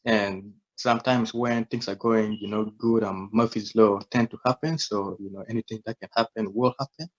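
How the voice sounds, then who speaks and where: A man's voice: fairly low pitch, fairly even intonation, moderate pace, hardly any pauses, lo-fi sound. A young man from South Sudan in his twenties, a humble and reflective guest telling his story in a casual interview.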